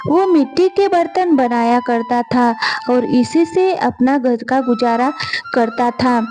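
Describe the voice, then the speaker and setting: Slow, high-voiced woman storyteller. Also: warm tone. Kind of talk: narrated moral story. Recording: faint music behind the voice. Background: faint music.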